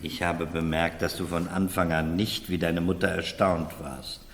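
An actor playing a mocking, cynical baron in a German radio play, dry and teasing. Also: male voice, low voice, fairly even intonation, moderate pace, fairly bright tone. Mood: ironic. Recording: echoey hall with long reverb.

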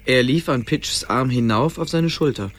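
A man's voice: mid-range voice, normal speed, a bit bright. A man narrating a scripted fantasy radio play as its storyteller. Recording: clear background ambience noise.

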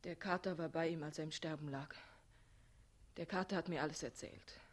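An actress playing a grieving, withdrawn young bride in a 1960s radio play, speaking in terse, halting phrases. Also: female voice, low voice, fairly even intonation.

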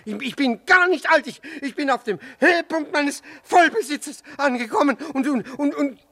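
An actor playing a flustered, indignant older husband in a comic radio play, stammering and fussily formal. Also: male voice, very high voice, expressive, moderate pace, sharp tone, heavily acted. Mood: stubborn, huffy.

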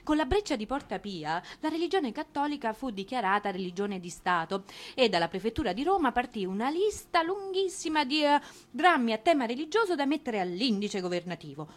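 A woman's voice: a little high, very animated, fairly quick, few pauses. A woman presenter delivering theatrical scripted narration with an ironic, emphatic manner.

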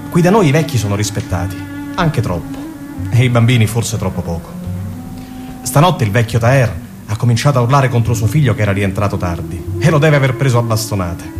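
A male actor voices a dreamy young man in love. His voice sits a little low, tender and lyrical, as in a radio drama letter. Music plays clearly behind him. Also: lightly acted. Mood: affectionate, amused. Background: instrumental music.

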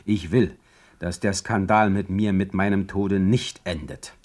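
A man's voice: deep voice, steady tone, unhurried, darkish tone. A man playing a sardonic, imperious German poet in exile for a radio play, declaiming his words. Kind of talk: radio play acting and letter reading.

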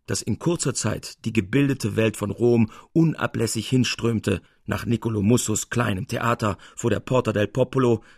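A man reading literary narration as an audiobook narrator, in an expressive, measured storytelling manner. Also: medium pitch, creaky, husky, no room sound, clean studio sound.

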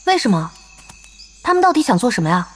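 A woman's voice: fairly high pitch, sing-song, fast talker, a little hiss or hum. A woman acting in an audio drama as a feisty, eager young female gamer who speaks directly. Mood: inquisitive.

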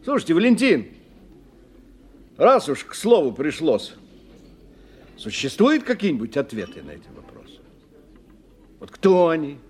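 Radio-play businessman: anxious and inquisitive, fairly high voice with very lively swings, music underneath. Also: measured pace, pauses often, breathy edge, full character voice. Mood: worried, urgent. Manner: probing, animated questioning.

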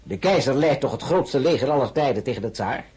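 An actor playing a shrewd, polished excellency in a period radio drama, formal and pointed, with a husky voice. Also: male voice, mid-range voice, animated, a little fast, darkish tone. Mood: probing, sly.

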